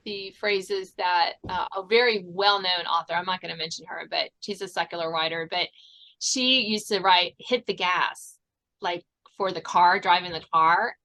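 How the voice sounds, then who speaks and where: A woman's voice: on the low side, normal speed, bright, forceful tone, poor audio. A woman hosting a podcast in a casual interview, chatty and given to laughing. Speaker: podcast host.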